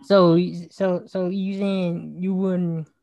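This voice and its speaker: A man in his twenties in casual chat, agreeable and chiming in with quick remarks. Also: high voice, flat delivery, vocal fry, dark tone, no echo. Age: 20s.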